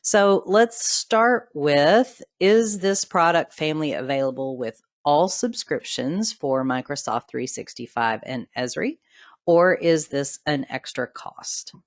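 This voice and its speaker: A woman with a fairly low voice moderates a webinar question session. She speaks slowly, and the sound is dry and close. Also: dry recording. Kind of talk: hosting audience questions.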